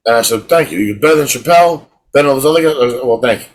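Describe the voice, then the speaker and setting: Husky man telling rambling stories. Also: mid-range voice, animated, very fast. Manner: rambling storyteller, repeats words when starting. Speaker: podcast co-host. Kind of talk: casual chat.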